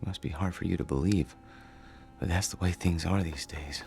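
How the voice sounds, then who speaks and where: A man's voice: low voice, steady tone, normal speed, creaky, a little mellow, soft background music. A man acting in a radio drama as a timid, lonely, awkward thirty-something who speaks hesitantly and stammers. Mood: quiet brooding.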